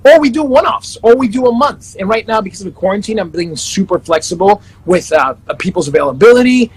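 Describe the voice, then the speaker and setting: A man's voice: sits high, expressive, fairly quick, slightly rough, rough recording. A man in his thirties, a life coach, chatting upbeat in an interview over a video call. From Panama and the Netherlands. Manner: upbeat, self-promoting, many restarts. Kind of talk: casual interview chat.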